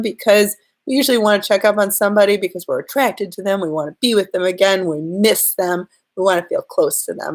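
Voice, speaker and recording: A woman with a slight cold giving earnest, direct relationship advice to her viewers in a live-streamed talk. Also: mid-range voice. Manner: earnest, direct advice spoken straight to the listener. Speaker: relationship and law-of-attraction coach.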